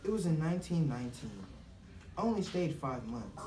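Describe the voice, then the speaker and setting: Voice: medium pitch, very animated, moderate pace, dark tone, slight background noise, close to an everyday voice. A performer playing an earnest, insistent romantic rival who claims another man's wife in an amateur puppet show. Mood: measured. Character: earnest romantic rival, man, fixated and intense. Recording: roomy, from far off.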